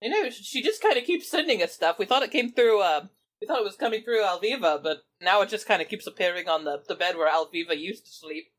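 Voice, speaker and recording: A woman's voice: medium pitch, animated, fast. A woman improvising casual in-character banter as the host of a book club in a tabletop role-playing show. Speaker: player hosting an in-game book club.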